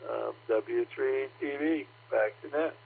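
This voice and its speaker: A man's voice: medium pitch, takes it slowly, vocal fry, a little hiss or hum. A man checking in on a ham radio net, giving casual advice in a laid-back, hesitant way. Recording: lo-fi radio transmission.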